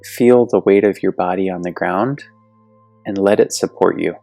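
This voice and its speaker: A man gently and soothingly guiding a yoga nidra meditation, speaking deliberately with long pauses. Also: sits low, vocal fry, no echo. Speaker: guided meditation instructor. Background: soft meditation music.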